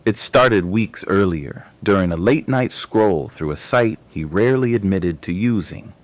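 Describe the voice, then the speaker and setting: A man narrating scripted dramatic fiction as an audio story, in short clipped phrases with deliberate pauses. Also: fairly low pitch, lively intonation.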